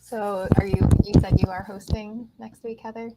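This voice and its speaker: A woman's voice: mid-range voice, flat delivery, normal speed, heavy background noise. A woman talking casually as part of a panel on a live video call. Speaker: booktuber on a live readalong panel. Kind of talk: casual chat. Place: live group video stream.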